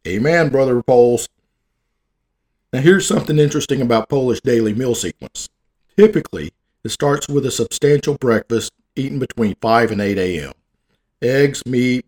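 A man's voice: medium pitch, a little mellow. A man with a Southern American accent reading out facts and stopping for folksy jokes, sometimes tripping over long words. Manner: folksy, wisecracking, stumbles over hard words. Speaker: presenter. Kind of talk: reading facts aloud with joking asides.

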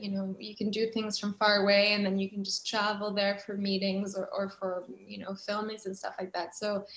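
A woman's voice: mid-range voice, fairly bright tone. A woman from Switzerland chatting casually as an interview guest, with an enthusiastic, giggly manner. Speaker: guest, actress and producer. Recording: roomy, echoing sound.

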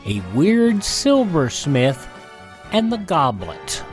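A man's voice: a little high, big pitch swings, slow pace, over loud music. A man hosting a radio show, mixing scripted links with informal asides.

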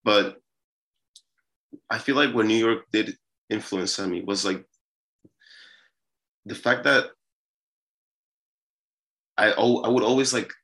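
A young man from Peru, a musician guest, talking casually in an interview with a relaxed, rambling manner and many fillers. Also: fairly low pitch, slow pace, long pauses.

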